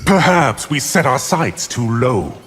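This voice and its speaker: A man's voice: medium pitch, very animated, measured pace, loud music behind the voice. A man playing a scheming, power-hungry sorcerer villain in a fighting game, speaking with condescending menace. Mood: sly, menacing. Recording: long reverb, loud background noise.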